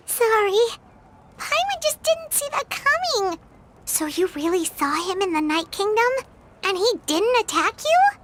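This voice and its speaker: A woman voicing a small, childlike fairy companion in a video game, squeaky, expressive, excitable and loyal. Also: exaggerated voice. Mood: startled. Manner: expressive, refers to self in third person.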